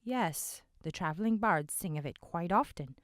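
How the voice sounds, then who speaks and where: A woman voicing a soft-spoken man at an inn. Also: fairly low pitch, lively intonation, normal speed, no room sound, studio quality, lightly acted. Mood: gentle. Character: soft-spoken man recalling bard tales.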